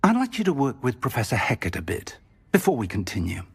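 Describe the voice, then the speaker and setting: An actor playing an older wizard professor, a kindly mentor with a husky, measured voice. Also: male voice, medium pitch, huge pitch swings, exaggerated voice. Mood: earnest. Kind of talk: game cutscene acting.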